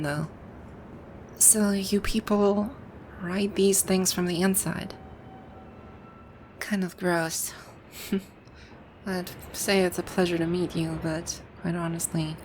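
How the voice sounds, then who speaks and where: A woman's voice: lowish voice, little pitch movement, takes it slowly, long pauses, darkish tone, a little hiss or hum. A woman acting a haughty, bewildered video-game villainess in an ASMR roleplay, with pompous, commanding, old-fashioned phrasing. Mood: wary, curious.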